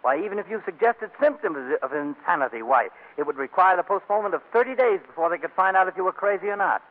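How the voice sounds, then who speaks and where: A man's voice: high-pitched, animated, fast. An actor playing a hesitant, agreeable lawyer friend in a 1940s radio comedy, hedging his words, on a muffled old broadcast. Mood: matter-of-fact, scheming.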